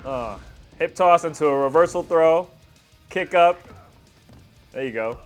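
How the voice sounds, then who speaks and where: A man's voice: fairly high pitch, unhurried, faint music behind. A man in his thirties giving casual reaction commentary as a video host, talking like an enthusiastic fan with slangy asides.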